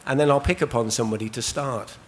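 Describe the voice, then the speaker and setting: A man, hoarse from losing his voice, hosts a live conference panel in a loose, chatty way with many ums and restarts. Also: mid-range voice, fast, a little hiss or hum. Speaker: panel host. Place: conference stage at a trade show.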